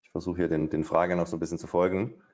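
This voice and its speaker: A man's voice: low-pitched, level tone, racing, darkish tone. A middle-aged man doing live trading commentary at his desk, thinking aloud with hesitations and fillers. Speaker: day trader. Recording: dull, lo-fi stream audio.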